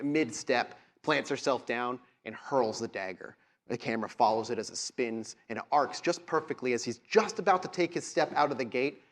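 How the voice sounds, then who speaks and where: A man acting as game master at a live show, narrating horror scenes in vivid, suspenseful detail between casual rules talk. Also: medium pitch. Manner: vivid, suspenseful descriptive storytelling mixed with casual asides. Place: live convention show before an audience.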